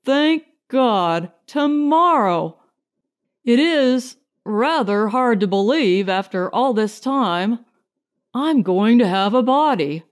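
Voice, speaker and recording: A woman voicing a lonely, yearning man without a body in audiobook dialogue, earnest and halting. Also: on the high side, lively intonation. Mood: relieved, wondering. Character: lonely bodiless man, anxious, yearning, emotional.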